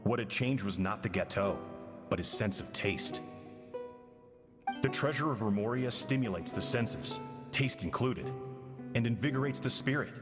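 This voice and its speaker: An actor playing a remorseful man in a game, recounting his jealous past in a solemn, measured dramatic monologue. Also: medium pitch, close to an everyday voice. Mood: solemn reflection. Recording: long reverb, music underneath.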